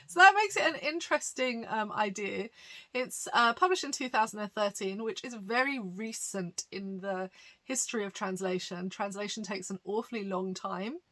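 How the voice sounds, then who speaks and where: A woman's voice: mid-range voice, normal speed, a bit bright. A woman pastor talking through a topic in a chatty, explanatory way, with frequent "um"s and light laughs. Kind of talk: conversational teaching monologue.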